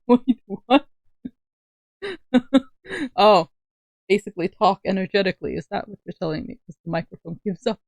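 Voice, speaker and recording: Soft-spoken giggly woman, dry close sound. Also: mid-range voice, expressive, moderate pace, long pauses, dry recording. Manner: giggly, laughs often, speaks softly. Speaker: streamer. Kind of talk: casual livestream chat on a call.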